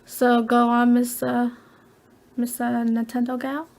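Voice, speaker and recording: A soft-spoken woman hosting a casual gaming podcast from home, chatty and giggly. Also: a little high, completely flat delivery, slow pace, vocal fry. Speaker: podcast host.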